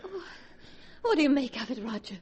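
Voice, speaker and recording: A woman's voice: high voice, big pitch swings, fairly quick, darkish tone. A woman playing an anxious, pleading wife in an earnest, melodramatic 1940s radio horror drama. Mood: uneasy. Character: anxious, pleading wife haunted by her husband's obsession. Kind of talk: 1940s radio horror acting and first-person narration. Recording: noisy vintage 1940s radio recording.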